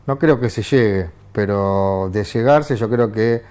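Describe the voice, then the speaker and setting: A man with an Argentine accent, a journalist guest on a TV political panel who talks in halting phrases with frequent restarts and fillers. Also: on the low side, a little mellow, smooth. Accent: Argentine Spanish. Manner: hesitant, many restarts and fillers. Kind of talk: TV studio political discussion. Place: TV studio.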